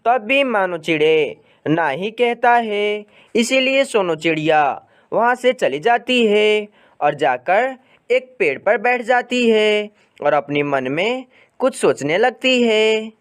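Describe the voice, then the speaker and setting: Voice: medium pitch, animated, slow pace, clean tone. A narrator reading scripted children's stories about birds. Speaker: story narrator.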